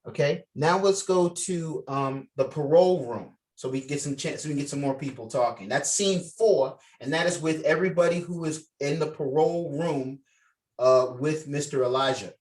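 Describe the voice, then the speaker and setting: A man with a fairly high voice runs a table read as its director. He is casual and jokey, stopping and repeating himself as he directs, and sounds a little far from the mic on a video call. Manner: halting, repeats phrases as he directs.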